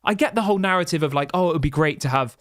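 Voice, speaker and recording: A man's voice: fairly high pitch, racing, fairly bright tone, dry recording, studio quality. A man hosting a football fan livestream, reading viewer chats and ranting passionately with lots of restarts and rhetorical questions. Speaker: football fan channel host.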